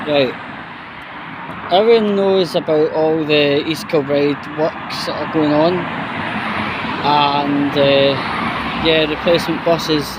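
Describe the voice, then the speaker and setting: A Scottish man from around Glasgow talks casually to his vlog audience in a slightly high voice. His delivery is slow, blunt and grumbling, with some swearing. He is recorded outdoors at the roadside with clear traffic noise behind him. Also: steady tone. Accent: Scottish, Glasgow area. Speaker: vlogger presenting a walking video. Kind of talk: casual off-the-cuff vlog chat. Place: outdoors by a roadside bus stop. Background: traffic and street ambience.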